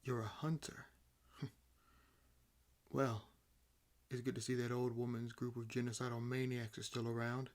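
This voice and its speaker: A man playing a sardonic, freshly awakened vampire in a roleplay audio drama, talking casually and haltingly. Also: medium pitch, level tone, darkish tone, natural voice. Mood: wry.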